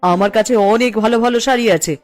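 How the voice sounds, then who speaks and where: A woman's voice: fairly high pitch, fast talker, soft background music, close to an everyday voice. A woman voicing a peevish, competitive village housewife in a cartoon, with a snappish, complaining way of talking. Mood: snappish, defiant. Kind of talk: Bengali cartoon voice acting.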